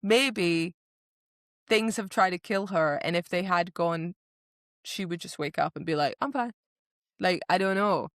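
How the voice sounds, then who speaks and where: Enthusiastic woman podcaster, slightly low voice, dry sound. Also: a little slow, long pauses, a bit bright, no room sound. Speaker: podcast host. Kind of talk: casual book discussion chat.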